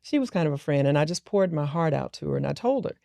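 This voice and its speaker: A woman's voice: low voice, lively intonation, fast, vocal fry, dark tone, dry recording, clean studio sound. A middle-aged woman, a business founder, telling a personal story earnestly and reflectively in an interview. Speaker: guest entrepreneur.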